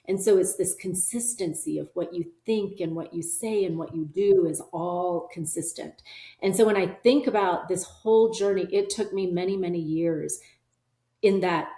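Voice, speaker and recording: A middle-aged woman whose first language is Korean, speaking as a company leader in an interview, earnest and measured, often affirming with "yeah". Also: lowish voice, warm tone. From South Korea, raised in Arkansas. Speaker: company CEO, podcast guest. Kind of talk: reflective conversational interview. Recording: roomy echo.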